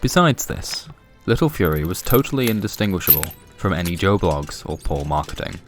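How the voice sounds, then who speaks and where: A man narrating scripted noir comic fiction in a deadpan, wry manner. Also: low voice. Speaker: narrator and presenter. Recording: music behind the voice. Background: music.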